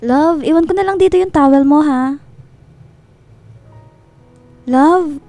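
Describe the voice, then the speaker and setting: A woman's voice: high voice, slow, dark tone, dry recording. An actress playing a devoted but suspicious housewife in her thirties in a radio drama. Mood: caring, subdued. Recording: music bed behind the voice.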